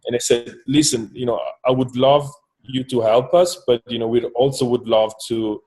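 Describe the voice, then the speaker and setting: A man's voice: medium pitch, steady tone, normal speed, a little mellow, rough recording. A man from Switzerland, a startup co-founder, talking through a partnership in a business meeting, hesitant with many fillers and restarts.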